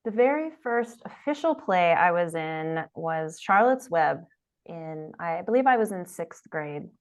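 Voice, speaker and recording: A woman's voice: on the low side, lively intonation, a little slow. A woman actor, guest on an interview show, chatting casually and laughing easily over a video call. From Delaware, USA.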